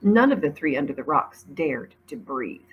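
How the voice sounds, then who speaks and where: A woman narrates a story in a fairly low voice. She reads expressively, as a teacher reading aloud. The recording has faint background noise. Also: sing-song, normal speed, muffled recording.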